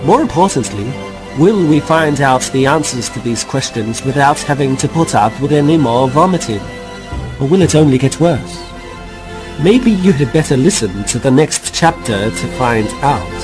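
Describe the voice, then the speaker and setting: A man narrating an audio drama in a playful, mock-grand way, at a mid pitch. Music plays clearly beneath his voice. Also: lively intonation, normal speed. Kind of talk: scripted narration.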